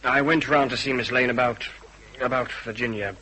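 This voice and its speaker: A man's voice: mid-range voice, fairly even intonation, moderate pace, pushed and bright, husky, low-quality recording. A man playing an anxious, well-bred British husband in a radio drama, formal and stiff as he justifies himself with some hesitation. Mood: stiff, defensive. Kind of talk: radio detective drama acting.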